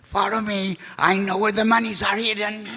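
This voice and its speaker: A man playing a scheming, excitable foreign criminal mastermind in a radio detective play, speaking stilted, formal English. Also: sits high, moderate pace, over music, lightly acted. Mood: urgent, nervous. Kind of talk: old-time radio detective play acting. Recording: echoey hall stage recording.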